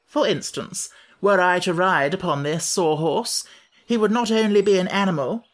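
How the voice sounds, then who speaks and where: A man's voice: sits high, normal speed, a bit bright, voice pushed up. A man voicing a pompous, over-educated male insect who lectures stiffly and firmly.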